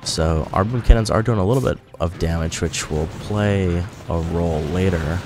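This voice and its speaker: Deep-voiced man, slightly nasal from a cold. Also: moderate pace, noisy background. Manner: rambling, self-correcting, chatty. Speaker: gaming YouTuber. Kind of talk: casual gameplay commentary. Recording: music playing behind.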